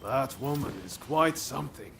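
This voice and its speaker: Game cutscene rogue: bold, casual, jaunty schemer, animated pitch in a middle register. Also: male voice, moderate pace, gravelly, a little hiss or hum. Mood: amused. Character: breezy, cheeky, bold swordsman rebel, easygoing schemer. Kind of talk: video game cutscene acting.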